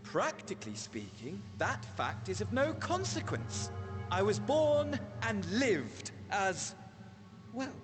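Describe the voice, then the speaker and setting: A sinister, amused male sorcerer from a game cutscene. His higher-set voice drawls slowly and theatrically, lingering on coy pauses over background music. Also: wildly swooping pitch, heavily acted. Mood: smugly musing. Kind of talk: video game cutscene acting.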